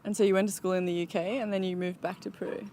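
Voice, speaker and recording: A woman's voice: mid-range voice, little pitch movement, quick pace, mellow. A woman hosting an interview, introducing herself and asking short, direct questions in a casual way. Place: outdoors overlooking the ocean.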